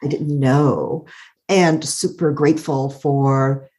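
A middle-aged woman with a calm, soothing voice, thoughtfully telling her life story as an interview guest and laughing often. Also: sits low, slow pace. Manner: warm, thoughtful, laughs often, uses "like" a lot. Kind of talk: reflective personal storytelling.

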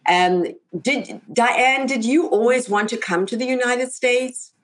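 A woman's voice: fairly high pitch, unhurried. A woman hosting an interview show, introducing topics and putting questions to guests. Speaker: host.

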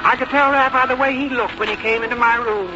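A woman's voice: a little high, fairly even intonation, fast, lightly acted. An actress playing a stubborn, plain-spoken elderly American mother with a blunt, folksy, complaining manner in a 1950s radio drama. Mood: wry, resolved. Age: older. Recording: noisy, muffled 1951 radio recording.

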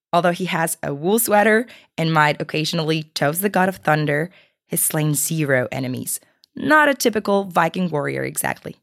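A woman's voice: low-pitched, no echo, studio quality. A woman hosting a podcast interview in a chatty, partly scripted style, a bit sick. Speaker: podcast host.

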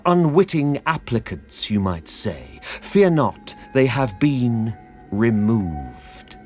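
A man voicing a sinister old male tailor who speaks slowly, emphatically and almost aggressively. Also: mid-range voice, sing-song, darkish tone, faint music behind. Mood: menacing.

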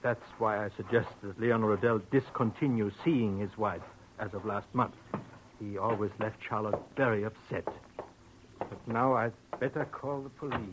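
An actor playing an earnest, anxious nursing-home doctor, formal and precise, in a 1940s radio drama with old-recording noise. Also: male voice, fairly low pitch, moderate pace, lightly acted. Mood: worried. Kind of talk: 1940s radio detective acting.

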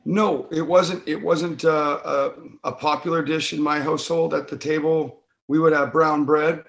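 A man's voice: fairly high pitch, little pitch movement, moderate pace, creaky, fairly bright tone. A man from Northern Ontario, a guest on an interview, jovial and talkative. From Northern Ontario, Canada. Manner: jovial and talkative, laughs as he speaks. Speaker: bagel business co-owner, guest. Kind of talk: casual interview chat.

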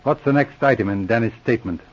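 A muffled old radio recording carries a male actor as a self-assured Mountie sergeant. His voice sits at a medium pitch. He probes and teases dryly, with the patient authority of a man in charge, in 1940s radio drama style. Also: fast talker, lightly acted. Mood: measured, probing. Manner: probing, commanding, dryly teasing.

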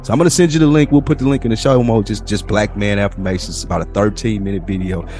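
A young man with a very low voice talks through a casual podcast. He rambles in a laid-back way and swears freely. Faint music plays underneath. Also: quick pace, darkish tone. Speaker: podcast co-host.

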